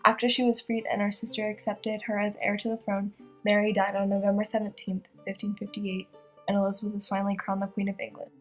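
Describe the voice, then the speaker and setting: Brisk, flat-toned young woman reading a newsreel. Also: medium pitch, soft background music, rough recording. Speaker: student presenter playing a news reporter. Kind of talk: scripted newsreel narration.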